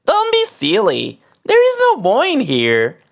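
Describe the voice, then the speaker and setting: The giant's kind wife, as a man puts her on in a storybook, brushing off questions in a high, raised voice. She sounds calm and protective. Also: unhurried, gravelly, heavily acted. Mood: dismissive.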